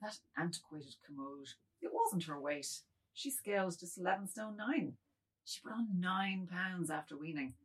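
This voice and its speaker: A woman plays a middle-aged man with a low, husky voice in a dramatic novel reading. He is apologetic and wistful, justifying himself in broken, musing phrases. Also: moderate pace.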